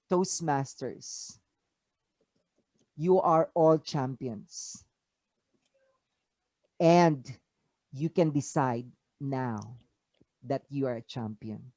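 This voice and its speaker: A speaker with a Filipino accent giving an inspirational talk over a video call, asking the listeners rhetorical questions with emphatic rises. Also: male voice, a little high, a little mellow, dry recording. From Philippines. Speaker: motivational speaker. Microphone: video call mic.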